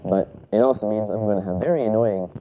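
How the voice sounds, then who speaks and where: A man's voice: on the low side, quick pace, mellow, slight background noise. A man recovering from a cold, congested and coughing, rambling casually through his solo podcast with many fillers and self-corrections. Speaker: podcast host.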